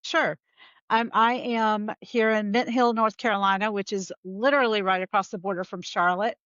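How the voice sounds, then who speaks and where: A woman's voice: medium pitch, fairly even intonation, normal speed, fairly bright tone, no echo, dull audio. A woman with a Southern US accent, a podcast guest chatting casually over a remote call, with lots of fillers. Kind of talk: casual interview talk.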